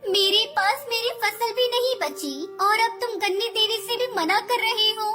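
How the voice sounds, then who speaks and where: A woman's voice: extremely high pitch, a little slow. A woman voicing a small, earnest, kindly female bird with a childlike manner in a Hindi cartoon fable. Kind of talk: Hindi cartoon moral story dialogue. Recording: loud children's music under voice. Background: children's music.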